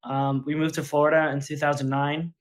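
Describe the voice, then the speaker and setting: A man's voice: on the high side, flat delivery, brisk. A man from Puerto Rico, a podcast guest, speaking casually and hesitantly with many fillers. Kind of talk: casual chat.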